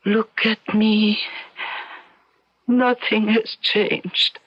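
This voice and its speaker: A woman's voice: medium pitch, little pitch movement, very slow, slightly rough. A woman playing a vain, nervous and defiant former ballerina of fifty in a radio drama, theatrical and intense. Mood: anguished. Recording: dull old radio recording.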